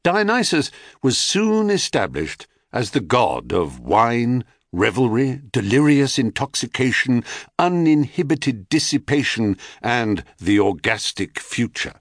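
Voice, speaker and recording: A man reading a scripted myth narration in an expressive, theatrical way, with wry, dry asides. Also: medium pitch, unhurried, no echo. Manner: wry, dryly witty asides. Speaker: audiobook narrator.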